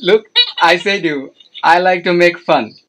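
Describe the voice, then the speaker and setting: A man's voice: high-pitched, measured pace, fairly bright tone, a little hiss or hum. Young Bangladeshi man, Bengali native, teasing video-call chat, easy laughter.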